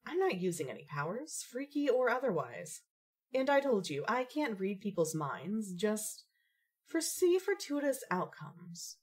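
Composed young woman played by an actress, precise, articulate, dry wit, animated mid-range delivery for audio fiction. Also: medium pitch, measured pace, slightly rough. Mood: defensive. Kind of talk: fan fiction podcast acting.

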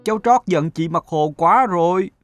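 A man voicing a proud, touchy young cloud in a cartoon fable, with a childlike, expressive delivery. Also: high-pitched, moderate pace, dry recording, faint music behind, close to an everyday voice. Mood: tearful, worried.

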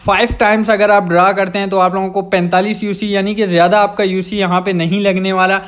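High-pitched young Pakistani man explaining a game. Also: flat delivery, brisk, fairly bright tone. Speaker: gaming channel host. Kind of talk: casual gameplay explainer voice-over.

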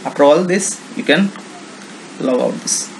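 Male software demonstrator, Indian accent, creaky voice, halting unscripted tutorial delivery, slightly noisy screencast audio. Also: fairly high pitch. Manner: halting, non-native English phrasing with repeated check words.

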